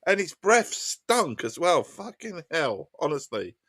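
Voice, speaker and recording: A man's voice: fairly high pitch, very animated, measured pace. A man with an Essex accent chatting casually and rambling while he streams a game, stuffy and congested from a cold, with a casual habit of swearing. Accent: Essex English. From Essex, England. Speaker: livestreamer. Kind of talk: casual chat while gaming. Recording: loud game vehicle noise behind.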